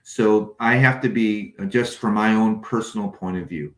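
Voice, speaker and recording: Guy scholar explaining hebrew grammar stuff, measured and careful, lowish voice, echoey recording. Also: fairly even intonation. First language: English. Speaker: Hebrew scholar, interview guest. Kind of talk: scholarly explanation, sometimes reading aloud. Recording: echoey, roomy sound.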